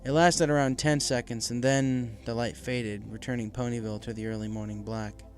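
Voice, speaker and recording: A male narrator with a medium-pitched voice reads a dramatic story from a script. Music plays clearly behind the narration throughout. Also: fairly even intonation, normal speed. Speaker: narrator, fan fiction reader.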